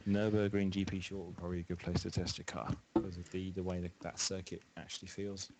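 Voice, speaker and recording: A man's voice: low-pitched, little pitch movement, fast talker, heavy background noise. A man on a voice call with a streamer, casually explaining race rules and giving driving tips. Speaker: guest on call. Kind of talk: casual chat over a voice call.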